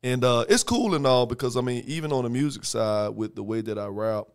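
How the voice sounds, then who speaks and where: Raspy man, 30s, rapper guest; gravelly, resonant, measured studio talk. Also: mid-range voice. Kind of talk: casual interview chat. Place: podcast studio. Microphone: headphones and studio mic on boom.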